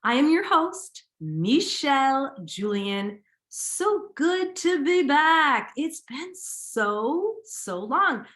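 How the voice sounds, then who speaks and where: A woman's voice: high voice, big pitch swings, very slow, a bit bright, echoey room. A woman podcast host, talking casually and enthusiastically, with a slight sniffle and a little nasal. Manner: enthusiastic, upbeat, repeats words for emphasis. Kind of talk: casual solo podcast talk.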